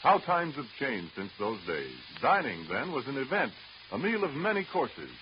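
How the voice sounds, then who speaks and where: A man announcing in a formal, polished 1930s radio style, with measured scripted delivery. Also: medium pitch, big pitch swings. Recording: dull, hissy old 1930s radio broadcast.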